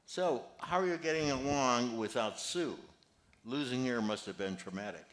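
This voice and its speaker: A man's voice: medium pitch, animated, moderate pace, natural voice. An older man reading the part of an amiable retirement-home resident in an amateur play, in a mild conversational way. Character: amiable old male retirement-home resident, mildly upbeat. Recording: echoey room.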